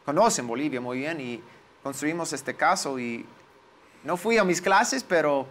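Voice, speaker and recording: A man in his forties with an American accent, a native English speaker, recalling his experiences in an interview and pausing to search for words. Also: on the high side, lively intonation, a bit bright. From United States. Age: 40s. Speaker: lawyer, interview guest. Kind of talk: interview, personal recollection.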